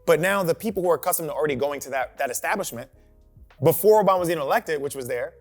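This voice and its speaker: A man hosting a talk show, posing questions to the panel in an animated way and often checking in with "right?". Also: a little high, very fast, soft background music. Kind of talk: moderating a discussion.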